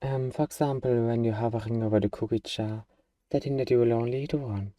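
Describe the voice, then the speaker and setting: A man's voice: medium pitch, steady tone, a little fast, warm tone, no echo, recorded from a distance, natural voice. A man playing a small, eager demon in a comic ASMR roleplay, boastful and self-important yet easily flustered and hesitant. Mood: coaxing, boastful. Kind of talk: ASMR fantasy comedy roleplay addressed to listener.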